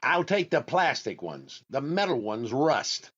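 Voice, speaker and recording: A man's voice: mid-range voice, big pitch swings, a little slow, fairly bright tone, slightly rough, highs cut off, heavily acted. An actor playing a fussy, hesitant male shopper who cannot decide, in the style of old-time radio comedy. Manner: hesitant, dithering. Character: fussy, indecisive, penny-careful male shopper.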